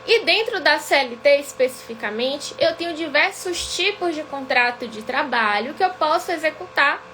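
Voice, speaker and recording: A high-pitched woman with a Bahian accent teaches a live online class. She speaks in a didactic way and keeps checking in with her viewers. A faint hum runs under her voice. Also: lively intonation, moderate pace, pushed and bright. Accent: Bahian Brazilian Portuguese. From Salvador, Bahia, Brazil. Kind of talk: live-streamed lesson.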